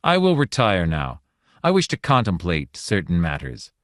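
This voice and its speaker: A man's voice: deep voice, huge pitch swings, moderate pace, fairly bright tone, dry recording, exaggerated voice. A man voicing a small one-eyed alien god who speaks in a sour, lofty, noncommittal way, read dry and close.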